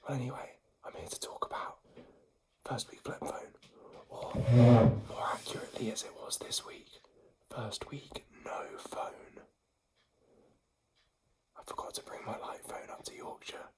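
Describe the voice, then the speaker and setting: A man's voice: mid-range voice, unhurried, pauses often. A man vlogging in a whisper because he has lost his voice, talking in a rambling way with many fillers and an American-sounding accent, indoors in a home. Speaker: vlogger and singer-songwriter. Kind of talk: casual vlog chat.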